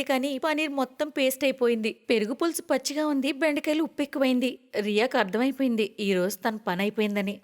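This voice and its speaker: A woman's voice: high-pitched, big-room sound. A woman narrating a scripted moral story as the storyteller.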